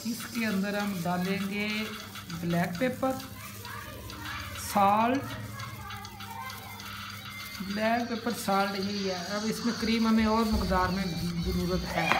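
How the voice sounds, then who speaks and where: A woman's voice: medium pitch, takes it slowly, pauses often. A woman cooking at home and explaining a recipe step by step, chatty and repeating each step as she goes. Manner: chatty, repeats steps, admiring the food. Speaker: home cook. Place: home kitchen table, indoors. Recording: roomy with loud background noise.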